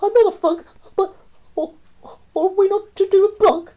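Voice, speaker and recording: A woman voicing a frightened young child in a rhyming storybook, high and loud. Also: steady tone, moderate pace, dull audio. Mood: alarmed.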